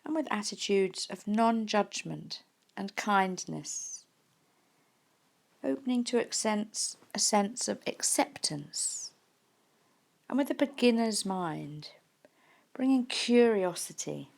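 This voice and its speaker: Lady doing guided meditation, gentle n unhurried, bit of vocal fry, mid pitch. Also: animated. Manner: gentle, unhurried, with soft pauses. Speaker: meditation teacher.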